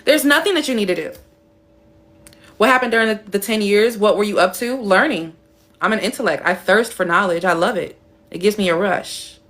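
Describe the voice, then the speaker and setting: A young woman talks straight to her viewers on a live stream, blunt and emphatic, swearing freely. She plays with her voice, dropping it low and deep or making it high and animated. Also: brisk. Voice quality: playful, shifts her voice between high and deep. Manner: blunt, emphatic and swearing, reading out chat comments.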